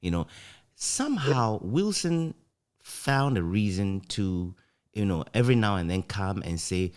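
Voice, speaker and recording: A man with a Ghanaian accent, reminiscing casually as an interview guest in an animated, anecdotal way. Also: on the low side, a little slow. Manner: animated, chuckles often while recounting anecdotes. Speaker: interview guest, former radio broadcaster.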